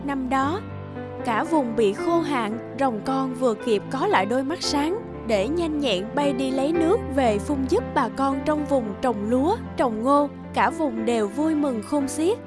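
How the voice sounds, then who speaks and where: A woman narrating a fairy tale for an animated story in a gentle, measured way. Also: sits high. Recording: music bed behind voice.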